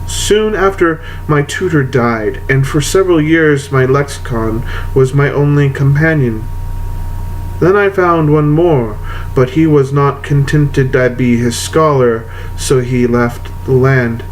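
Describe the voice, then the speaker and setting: A man reading old letters aloud to an audience in a measured, deliberate way, with long pauses. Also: mid-range voice, slight background noise, rough recording. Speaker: reader of letters.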